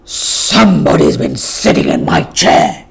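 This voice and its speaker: A woman voicing a huge storybook papa bear in a big, gruff, growling voice. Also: sits low, huge pitch swings, unhurried, pitched below the performer's own voice, exaggerated voice.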